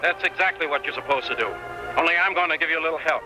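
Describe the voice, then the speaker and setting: An actor playing a ruthless, scheming outlaw killer with menacing tough talk in an old radio western. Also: male voice, fairly high pitch, racing, natural voice, low-quality recording. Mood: cold menace. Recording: vintage radio drama with music bed.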